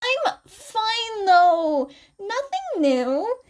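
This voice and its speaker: A woman voicing a gentle, earnest teenage boy who explains and reassures, in a fan fiction story reading. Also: very high voice, big pitch swings, drawn-out words, voice pushed up, exaggerated voice. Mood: gentle, reassuring.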